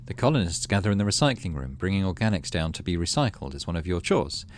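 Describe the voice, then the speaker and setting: A man hosting a gaming video, reading story text aloud and adding low-key, muttered asides in casual commentary. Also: sing-song, fast talker. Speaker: gaming channel host.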